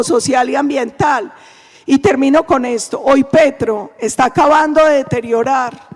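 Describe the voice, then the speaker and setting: A Colombian woman with a very high voice delivers a steady, emphatic political speech. Her words ring with the long echo of a large conference hall. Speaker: senator, panel speaker.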